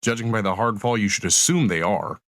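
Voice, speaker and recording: Sardonic young male hero, acted by a man: low register, pessimistic, dry audio-story recording. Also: brisk, fairly bright tone, no echo, lightly acted. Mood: sarcastic. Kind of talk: audio story character acting.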